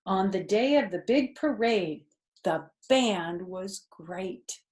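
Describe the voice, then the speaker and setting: A woman's voice: medium pitch, expressive, slow, a little mellow. An older woman reading a children's storybook aloud expressively, with explanatory asides.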